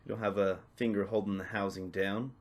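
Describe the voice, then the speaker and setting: A man narrating a step-by-step tutorial off the cuff, in a casual, instructional way with softened phrasing. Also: on the low side, level tone, fairly quick. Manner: casual, instructional, hedging with "kinda" and "a little bit". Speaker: repair tutorial presenter.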